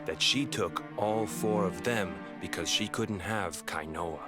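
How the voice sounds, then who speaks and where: Young guy telling an old family tale, lowish voice, music under it. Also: unhurried. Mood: earnest. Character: young Hawaiian man, grandson of a cursed fisherman. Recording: game audio over background music.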